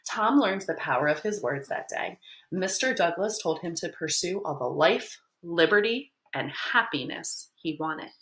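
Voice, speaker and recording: A woman's voice: fairly low pitch, measured pace, slight background noise, poor audio. An American woman in her thirties reading a picture book aloud expressively, explaining as she goes. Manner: animated, explanatory. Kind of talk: expressive children's read-aloud with simple asides. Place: small book-lined room at home.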